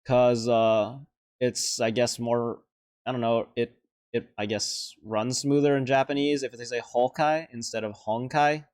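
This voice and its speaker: A man's voice: mid-range voice, steady tone, measured pace, a little mellow, dry recording, clean studio sound. A man giving casual, off-the-cuff reaction commentary as a video host, rambling and hesitant as he thinks aloud.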